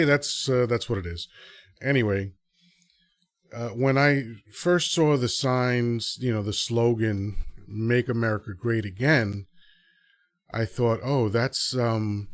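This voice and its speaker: Dry, low-voiced man hosting a podcast. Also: no room sound. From Dominican Republic. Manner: rambling, self-correcting, earnest. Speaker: podcast host. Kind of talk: casual solo commentary to a live audience.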